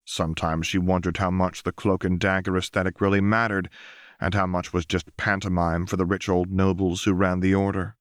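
A man's voice: low-pitched, level tone, moderate pace, no room sound, studio quality. A man reading a fantasy story as an audiobook narrator, in scripted narration.

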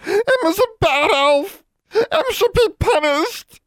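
A man's voice: expressive, slow, fairly bright tone, no echo, clean studio sound, exaggerated voice. A man voicing a weepy, servile female house elf who wails through her tears. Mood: tearful wailing. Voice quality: wailing, tearful, squeaky.

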